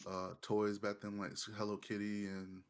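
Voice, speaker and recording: A man in casual conversation, halting as he searches for words, with faint noise and clicks on the recording. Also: lowish voice, completely flat delivery. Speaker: panelist on a nerd culture show. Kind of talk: casual chat.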